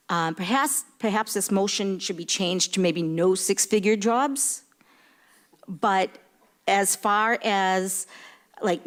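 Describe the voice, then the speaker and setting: Plain-spoken woman in her fifties, mid-pitched. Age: 50s. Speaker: city councilor. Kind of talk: formal remarks at a council meeting. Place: indoor public council meeting room.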